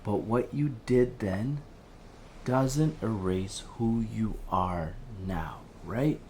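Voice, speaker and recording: A man's voice: lowish voice, extremely slow, dark tone, a little hiss or hum. A man acting an empathetic, insistent helpline counsellor in an audio drama, pleading reassuringly and often ending on little tag questions. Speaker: helpline host.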